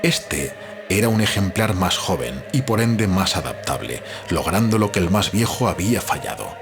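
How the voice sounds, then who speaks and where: Guy from castile reading an audiobook, low voice, lively intonation, music behind. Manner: measured, dramatic storytelling delivery. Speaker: audiobook narrator. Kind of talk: scripted literary narration. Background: background music.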